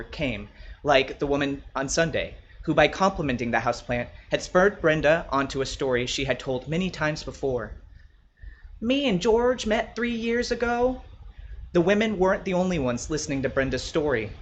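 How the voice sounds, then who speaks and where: A man's voice: on the high side, animated, a little fast, a little hiss or hum. A man reading his own short story aloud as a scripted narration.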